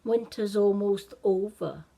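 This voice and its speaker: A gentle magic snowman voiced by a woman from a children's storybook. He talks very slowly and patiently, with a wistful, resigned air. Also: mid-range voice, gravelly. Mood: sad, resigned.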